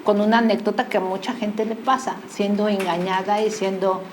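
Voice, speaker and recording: A woman's voice: mid-range voice, fairly even intonation, a little fast, slight background noise. Chatty woman from Mexico City. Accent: Mexican Spanish, Mexico City. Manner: chatty, storytelling, laughs easily. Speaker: guest. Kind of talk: casual interview chat.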